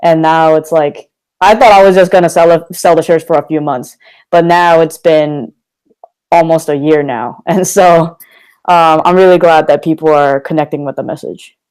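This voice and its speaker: Young guy on a podcast, talks open and energetic, smooth clear voice. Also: a little high, normal speed, rough recording. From Korea. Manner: candid, energetic, slangy. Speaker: guest, motivational speaker. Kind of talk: casual podcast interview.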